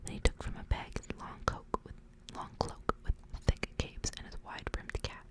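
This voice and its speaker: A woman whispering in ASMR style, as a creator reading to listeners. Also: normal speed.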